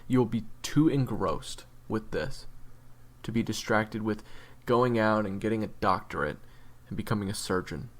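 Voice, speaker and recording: A man plays a world-weary monster hunter with a fairly low voice. He talks bluntly and earnestly in a halting, profane way, like a mentor levelling with a rookie in an audio roleplay. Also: lightly acted. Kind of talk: dramatic audio roleplay monologue.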